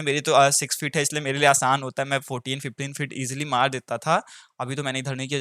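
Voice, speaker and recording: Bright-voiced young Indian man explaining informally. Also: mid-range voice, dry recording, clean studio sound. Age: young adult. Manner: repeats points for emphasis, switches between Hindi and English. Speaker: exam advice YouTuber and candidate. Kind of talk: informal explainer addressed to viewers.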